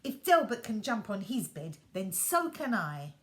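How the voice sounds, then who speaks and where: A woman reading aloud, voicing an eager, cheeky little boy in a high, childlike way. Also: big pitch swings, measured pace.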